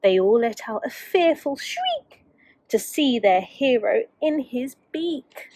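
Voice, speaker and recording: Female primary teacher as storyteller: expressive, slow-paced, fairly high voice for small children. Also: no echo. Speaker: teacher reading a children's book. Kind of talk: expressive rhyming storybook narration for young children.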